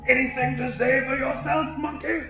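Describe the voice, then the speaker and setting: A man voicing an enraged, cruel giant crab boss in an audiobook, ranting in a screechy, gravelly voice. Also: very high voice, fairly even intonation, normal speed, echoey room, over loud music, pitched above the performer's own voice. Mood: scornful ranting. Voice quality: screechy, gravelly imitation of human speech. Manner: ranting, shouting, profane bullying. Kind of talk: audiobook character voice.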